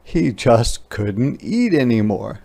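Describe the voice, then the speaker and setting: Man, children's read-aloud, slow deliberate pace, creaky edge, expressive narration. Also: medium pitch. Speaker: story reader.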